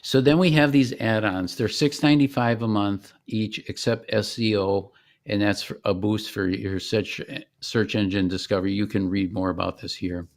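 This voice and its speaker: A man with a fairly low voice presents his own company's product. He explains it informally, in a conversational, somewhat halting way, as a founder would in a live demo. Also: steady tone.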